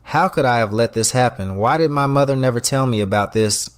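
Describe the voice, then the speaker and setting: A man's voice: medium pitch, brisk, smooth, no room sound, studio quality, close to an everyday voice. A man voicing a proud, guarded, stressed restaurant owner in an audio story. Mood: distraught.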